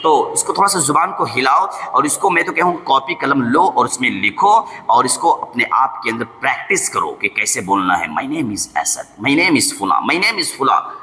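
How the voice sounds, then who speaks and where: An Indian-accented male teacher with a bright, forceful voice gives a language lesson in a very echoey room. He explains step by step, slows down to repeat example sentences, and keeps tossing in short check questions. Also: medium pitch, very animated. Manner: repeats example phrases slowly, often checks understanding with short tag questions. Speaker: spoken English teacher. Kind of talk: instructional lesson to camera, switching between explanation and example sentences. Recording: echoey room with long reverb.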